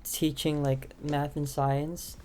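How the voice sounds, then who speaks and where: A woman's voice: low-pitched, flat delivery, takes it slowly, mellow, slight background noise. A young woman guest on a podcast, answering hesitantly with lots of "like" and "um". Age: young adult. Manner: hesitant, many fillers like "like" and "um". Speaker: podcast guest, aspiring teacher. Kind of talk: casual interview answers.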